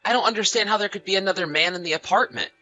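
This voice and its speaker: A man's voice: high-pitched, racing, bright, forceful tone, faint music behind. A man playing an anxious, trusting husband in a scripted audio story, apologetic and full of questions. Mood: shocked disbelief. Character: anxious, trusting husband often away on business.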